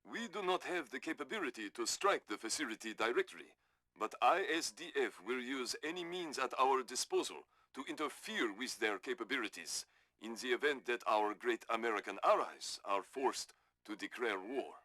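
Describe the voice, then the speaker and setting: Forceful Japanese military official. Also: male voice, medium pitch, lively intonation, normal speed, dry recording. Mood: stern, resolute. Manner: formal, declamatory. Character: stern, formal male Japanese military official. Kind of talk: video game briefing.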